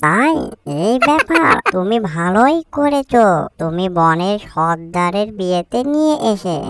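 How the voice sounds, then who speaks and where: A woman's voice: mid-range voice, sing-song, measured pace, no echo. Woman playing a curious, chatty cartoon animal. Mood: delighted. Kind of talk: cartoon dialogue. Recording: music behind the voice.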